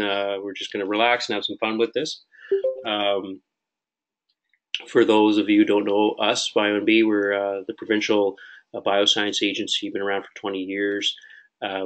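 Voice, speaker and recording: A man with a Canadian accent hosting a webinar as client services manager, relaxed and friendly, with frequent uhs. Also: fairly low pitch, low-quality recording. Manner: friendly, relaxed, filler-heavy. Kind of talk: webinar introduction.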